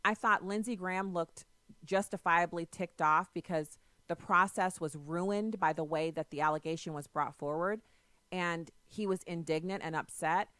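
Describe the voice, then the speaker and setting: A woman's voice: fairly low pitch, fairly bright tone, no echo. A woman hosting a political call-in radio show, pressing her caller with pointed questions. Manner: assertive, argumentative, quick to press questions. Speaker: radio talk show host.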